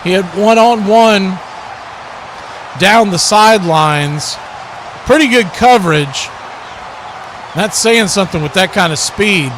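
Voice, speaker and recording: A man doing live play-by-play football commentary for a stream, in clipped phrases that grow excited on big plays. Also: high voice, slow pace, long pauses, a crowd in the background, noisy background.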